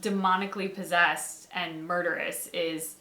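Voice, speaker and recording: A woman's voice: on the low side, fairly even intonation, moderate pace, bright, forceful tone. A woman giving casual, conversational commentary as co-host of a reaction video. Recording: echoey room.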